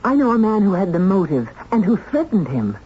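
A woman playing a flustered young secretary under suspicion in a 1970s radio drama, halting and emphatic as she protests. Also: mid-range voice, very animated, natural voice. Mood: accusing, insistent. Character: flustered young female secretary accused of murder. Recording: dull, hissy vintage radio recording.

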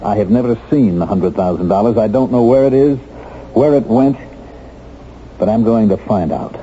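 A man's voice: fairly low pitch, normal speed, dark tone, a little hiss or hum, lightly acted. An American actor playing a bitter, determined middle-aged man just freed from prison, speaking earnestly and emphatically in 1970s radio drama style. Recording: dull vintage radio recording with music.

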